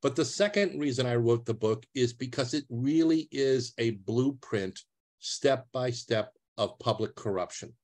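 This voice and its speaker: A man's voice: medium pitch, measured pace, darkish tone. A man, giving an earnest, plain-spoken talk over a video call as a retired public defender. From US East Coast.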